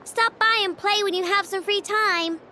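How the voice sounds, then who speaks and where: A woman's voice: very high-pitched, fairly even intonation, moderate pace, pushed and bright, slight background noise. A woman voicing a spirited, stubborn young girl inventor in a video game, with a breezy, boastful, coaxing manner. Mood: confident, breezy. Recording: background music behind the voice.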